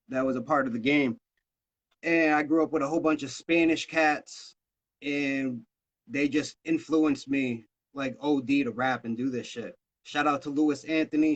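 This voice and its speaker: A man's voice: on the high side, lo-fi sound. A man in his thirties from Massachusetts, a rapper talking loosely and casually in an interview, swearing freely. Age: 30s. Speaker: rapper guest.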